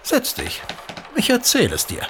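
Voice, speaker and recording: An actor playing an eager father who recounts an old legend in an audio drama, animated, teasing and dramatic, like a keen storyteller. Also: very high voice, unhurried, a little hiss or hum, full character voice. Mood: coaxing, teasing. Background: music.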